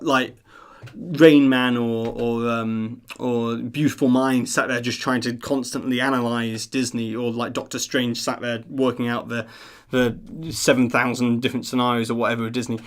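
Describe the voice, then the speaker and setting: A man hosting a live stream, chatting casually in an earnest, rambling, self-deprecating way. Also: mid-range voice. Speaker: live stream host of a theme park news channel.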